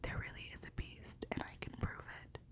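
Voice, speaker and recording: A woman voicing an earnest, pleading young storybook heroine in a breathy whisper. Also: normal speed, lightly acted. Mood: insistent.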